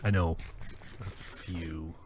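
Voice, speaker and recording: A man's voice: low-pitched, fairly even intonation, takes it slowly, background noise. A man streaming a video game, chatting casually and reading chat aloud in a rambling, wry way full of fillers. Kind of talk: casual live-stream chat while playing.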